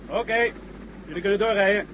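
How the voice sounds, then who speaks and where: A man's voice: high-pitched, a little fast, fairly bright tone, dull audio. An actor playing a curt, officious policeman at a checkpoint in a radio drama. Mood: curt, suspicious. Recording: noisy old radio play recording.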